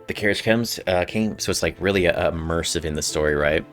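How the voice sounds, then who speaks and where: A man's voice: sits low, brisk, fairly bright tone. A man hosting a gameplay video, casually commenting and reading game text aloud in an informal way. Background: faint game music.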